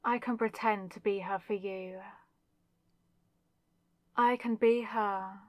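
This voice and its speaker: A woman playing a possessive, lovesick demonic villainess in a dramatic ASMR audio roleplay, speaking with intense, deliberate pauses. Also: medium pitch, dry recording, natural voice. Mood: pleading devotion.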